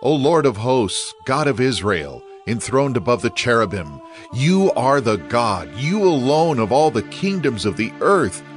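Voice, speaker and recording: A man's voice: mid-range voice, sing-song, measured pace, hardly any pauses, faint music behind. A man playing a devout, troubled king who prays with reverent pleading in a dramatized scripture recording.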